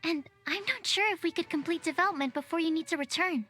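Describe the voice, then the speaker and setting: A woman's voice: extremely high pitch, fast talker, pushed and bright. A woman voicing a shy, polite young female alchemist's assistant in a game cutscene, timid and formal.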